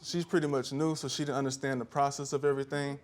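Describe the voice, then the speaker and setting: A man's voice: medium pitch, level tone, over a noisy crowd. Male American defendant, mid-range voice, casual and defensive, stumbling through explanations with repeats. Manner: halting, repetitive, defensive. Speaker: defendant in a TV small claims court. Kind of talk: informal self-defence in court. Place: TV courtroom studio.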